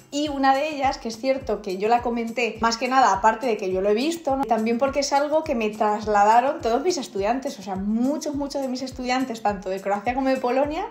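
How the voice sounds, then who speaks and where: Young Spanish woman vlogger, La Mancha accent, high voice, amused and chatty, echoey room. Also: darkish tone. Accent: Castilla-La Mancha Spanish, drops s sounds. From Spain. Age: young adult. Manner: amused, laughs often, chatty. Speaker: YouTuber, Spanish teacher. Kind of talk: casual vlog reaction, reading comments aloud. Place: indoor room, wall with photos behind. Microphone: camera at close range.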